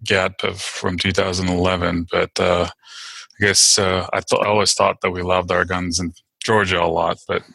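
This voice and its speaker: A man's voice: sits low, level tone, normal speed, gravelly, bright, forceful tone, dry recording. A middle-aged man from Turkey, answering interview questions in a casual, hesitant way with many fillers and restarts. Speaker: podcast guest.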